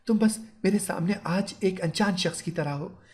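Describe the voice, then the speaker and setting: Guy doing a high-voiced bride, bitter, accusing, storybook drama. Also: moderate pace, mellow, lightly acted. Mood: furious. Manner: emotional, accusing. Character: young bride, bitter and furious.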